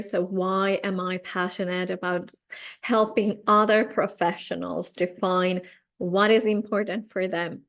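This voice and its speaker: A woman from Finland with a Finnish accent, a career coach giving a live-streamed training talk and speaking straight to her viewers in an encouraging way. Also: mid-range voice. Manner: unhurried, encouraging, addresses viewers directly.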